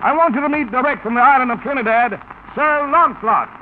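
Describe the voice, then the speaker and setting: A man's voice: very high voice, fast talker. A man hosting a 1940s radio show, announcing in showy, hip jive-talk patter. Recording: noisy, tinny 1940s radio broadcast.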